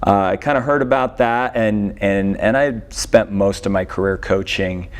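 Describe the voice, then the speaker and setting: A middle-aged man, a coach, giving unscripted interview answers with hesitant, halting starts. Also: fairly low pitch, clear, resonant tone. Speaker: swimming coach, interview guest.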